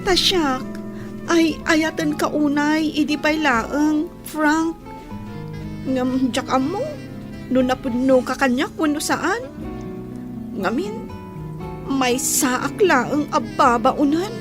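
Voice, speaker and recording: High-voiced young housemaid. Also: female voice, slow pace, pauses often. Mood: tender, unsure. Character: young housemaid, Angie, earnest and plain-spoken. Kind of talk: Filipino radio drama acting. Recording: music bed under the dialogue.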